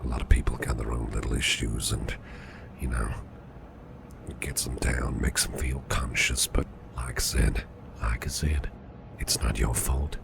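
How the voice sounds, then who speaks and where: A man playing a caring, reassuring businessman boss in a soft, half-whispered ASMR roleplay, soothing and unhurried, gently flirtatious. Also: fairly even intonation, full character voice. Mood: consoling. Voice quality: very deep, gravelly, breathy half-whisper. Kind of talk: ASMR roleplay, personal attention. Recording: clearly audible background noise.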